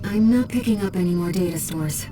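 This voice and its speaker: A woman voicing a composed, gently curious companion who guides a mech pilot in a video game, speaking in a measured, precise, softly earnest way. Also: fairly low pitch, natural voice. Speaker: mission support. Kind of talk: scripted video game dialogue. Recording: distant voice under loud music.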